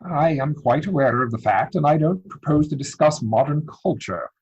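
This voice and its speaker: Muffled zoom recording, guy doing proper young gentleman suitor, earnest n easily flustered, lively pitch, stage comedy. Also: normal speed, creaky, husky, heavily acted. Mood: indignant, evasive. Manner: formal, mannered, indignant delivery. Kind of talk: dramatic reading of a period stage comedy. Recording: dull lo-fi video-call audio.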